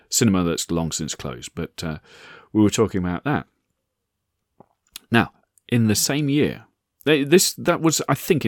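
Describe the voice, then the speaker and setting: A hoarse man in his sixties, hosting a podcast and telling a rambling personal story with many repeated words. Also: sits low, no room sound, studio quality. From England. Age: 60s. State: hoarse, croaky voice. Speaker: podcast host. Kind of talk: casual anecdotal monologue.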